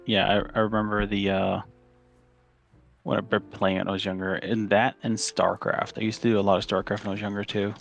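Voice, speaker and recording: A man's voice: on the low side, little pitch movement, quick pace, vocal fry, faint music behind. A man from Chile, a podcast guest, telling rambling stories in casual chat with lots of fillers and restarts.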